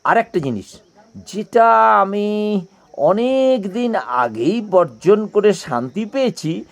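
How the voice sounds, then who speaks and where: A man acts out a courtly retired gentleman in a radio-style romance. He speaks slowly and hesitantly, searching for words, yet his pitch moves expressively as he shyly flirts. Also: sing-song, vocal fry, heavily acted. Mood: gently flirtatious. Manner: hesitant, halting, with filled pauses. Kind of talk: audio play dialogue.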